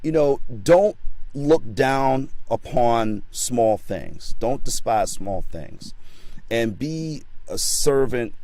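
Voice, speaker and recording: A man's voice: medium pitch, animated, slow, dark tone, no echo, low-quality recording. A man talking as a guest in a casual interview, often repeating words as he stumbles, giving encouraging advice. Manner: encouraging, motivational. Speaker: guest actor.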